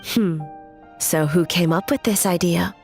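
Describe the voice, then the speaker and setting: An actress voicing a composed, stern female shogun in an anime game, with measured, formal and authoritative speech. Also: on the low side, a little mellow, faint music behind. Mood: calm, guarded.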